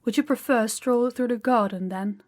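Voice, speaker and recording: A woman's voice: fairly high pitch, normal speed, a little mellow, natural voice. A woman playing a commanding, haughty young princess in a scripted fantasy audio roleplay, speaking in an imperious, condescending way. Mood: conciliatory, measured.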